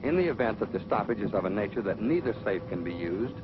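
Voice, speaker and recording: An American man narrating a 1940s instructional film in a measured, authoritative, dryly sardonic manner. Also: fairly low pitch, expressive, background noise. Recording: dull old film soundtrack, music behind.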